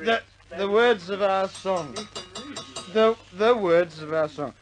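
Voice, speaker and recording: A man's voice: sits high, slow, dull audio, faint music behind. An actor playing a drunk, boisterous RAF airman, rambling and stammering in 1950s war film style. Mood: proud, tipsy. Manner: rambling, stammering, repeating himself. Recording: noisy crowded room, old film soundtrack.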